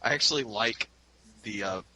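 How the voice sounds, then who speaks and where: Casual podcast co-host, bright voice, rambling delivery, faint room noise. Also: male voice, lowish voice. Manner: rambling, laughs often, halting restarts. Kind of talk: casual fan chat.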